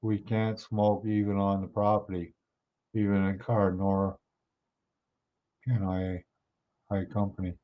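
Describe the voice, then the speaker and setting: Male livestream host, low voice gone a bit hoarse, chatty with his viewers, dull lo-fi stream audio. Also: level tone, takes it slowly, long pauses. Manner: chatty, reads and answers viewer comments. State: hoarse, clearing his throat. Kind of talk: casual chat with live viewers.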